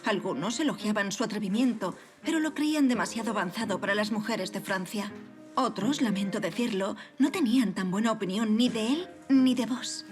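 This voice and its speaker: An actress playing a poised, wry marquise in a period audio drama, with refined, courteous and formal speech. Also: female voice, mid-range voice, fairly quick, over music, natural voice. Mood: measured, candid. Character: poised, wry aristocratic marquise during the French Revolution.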